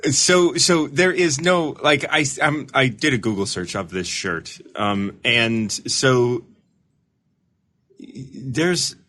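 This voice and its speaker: A man's voice: mid-range voice, takes it slowly, pushed and bright. A man in a casual supportive chat as a recovery mentor, laid-back, laughing easily and restarting his sentences.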